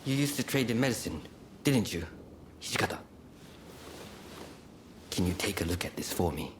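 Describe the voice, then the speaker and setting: Man acting a grave ailing samurai, low voice. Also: expressive, normal speed, a little hiss or hum, natural voice. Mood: resolute. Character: ailing samurai swordsman, grave, stubborn, loyal. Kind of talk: dramatic video game cutscene acting.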